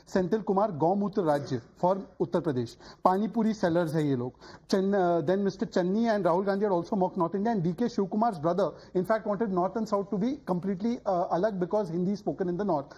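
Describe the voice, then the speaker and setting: A man argues as a party spokesperson, firing off rebuttals point by point. He talks quickly in a darker, mellow tone. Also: sits high. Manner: forceful, lists charges point by point. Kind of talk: combative televised debate.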